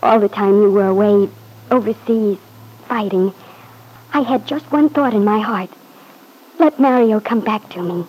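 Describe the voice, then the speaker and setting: An actress playing a devoted, anxious young wife in an old-time radio drama, pleading earnestly. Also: female voice, mid-range voice, measured pace, pauses often, a little mellow, poor audio. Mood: tender, troubled. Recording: husky-sounding vintage radio recording.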